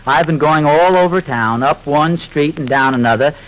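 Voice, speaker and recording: An actor playing an earnest, mild-mannered, slightly folksy husband in a 1940s radio sitcom. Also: male voice, on the high side, moderate pace, close to an everyday voice. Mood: mild, explanatory. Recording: noisy vintage radio recording.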